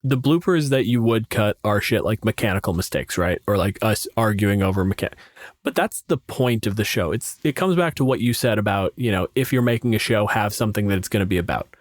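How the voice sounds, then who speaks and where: A man's voice: lowish voice, animated, a little fast, dry recording, clean studio sound. A young man chatting casually as a podcast co-host, self-deprecating and sweary, telling stories with quoted asides. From Canada. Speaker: podcast co-host and game master.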